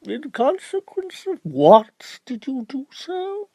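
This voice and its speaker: Guy doing a pompous old-school prosecutor, high and super slow, hammers every word, disbelieving, audiobook style. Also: huge pitch swings, darkish tone, no echo, full character voice. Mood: pompous, pointed. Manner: drawn-out, emphatic, incredulous. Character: pompous, theatrical male Attorney General cross-examining a witness. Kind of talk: audiobook character voice.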